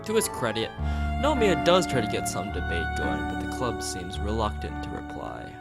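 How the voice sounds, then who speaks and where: Animated low-voiced man reading a story aloud over music. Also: moderate pace. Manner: stumbles over and rereads lines. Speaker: let's play host reading a visual novel aloud. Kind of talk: reading story narration aloud, informal streamer. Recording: music playing behind the voice. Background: plucked guitar game music.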